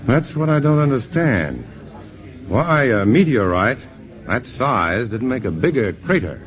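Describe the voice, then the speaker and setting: An actor playing a shy, self-deprecating scientist in a 1950s radio drama. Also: male voice, mid-range voice, big pitch swings, a little slow, faint music behind. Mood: modest, easygoing. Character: modest, bashful male physicist. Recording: muffled vintage radio broadcast.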